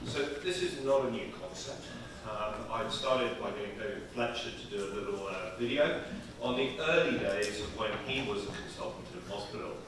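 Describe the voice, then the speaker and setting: A man from the UK giving a conference talk, upbeat with a loud voice. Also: fairly low pitch, moderate pace, few pauses. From United Kingdom. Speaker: conference speaker. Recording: echoey hall, distant mic, loud noise.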